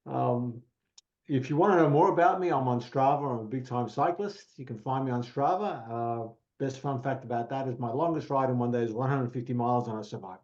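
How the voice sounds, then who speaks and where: Guest, Australian accent, Italian first language, casual storyteller, muffled lo-fi audio. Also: male voice, medium pitch, brisk, darkish tone. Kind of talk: casual podcast conversation.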